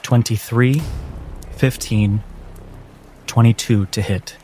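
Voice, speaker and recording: A man acting as game master, narrating a tabletop game with dramatic, deliberate phrasing. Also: medium pitch, steady tone, warm tone, slight background noise. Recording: music behind the voice. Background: background music.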